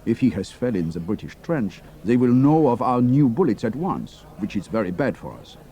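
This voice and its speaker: An actor playing a worried German pilot who speaks slightly imperfect English in an audio drama. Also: male voice, medium pitch, very animated, moderate pace, mellow, husky, highs cut off. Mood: grave, worried.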